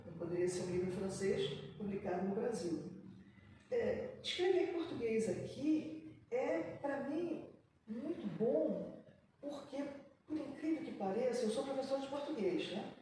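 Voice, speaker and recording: A woman's voice: on the high side, lively intonation, noisy background. Reflective woman, echoey far-off room. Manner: reflective, many pauses and restarts. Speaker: writer on a panel. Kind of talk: panel discussion talk.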